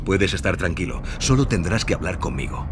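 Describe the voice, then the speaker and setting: A man's voice: low-pitched, big pitch swings, brisk, slight background noise. A man playing a paternal lawyer in an audio drama, coaxing and soothing a troubled caller.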